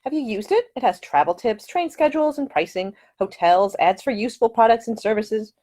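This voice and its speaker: Woman playing a young upper-class English lady, chatty, cheerful, high lilting swoops, eager to help, storybook character. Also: lively intonation, a little fast, dark tone. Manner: eager, solicitous, talkative.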